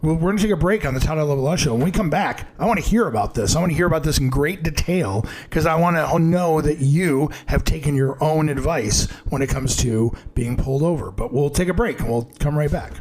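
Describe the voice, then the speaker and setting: A man's voice: fairly high pitch, brisk, hardly any pauses, darkish tone. A man co-hosting a casual talk show who chats in an easygoing way, with plenty of fillers. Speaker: radio co-host.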